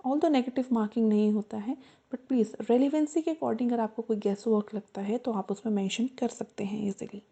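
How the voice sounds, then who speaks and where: A woman tutor giving an explanatory lesson to students, earnest and repeating her reminders, slowing down to spell out the key points. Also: fairly high pitch, fairly even intonation, mellow, slightly rough, muffled recording. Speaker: exam-prep tutor.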